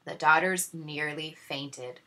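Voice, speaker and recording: A woman teacher reading a children's storybook aloud in an expressive narrating style. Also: sits low, takes it slowly.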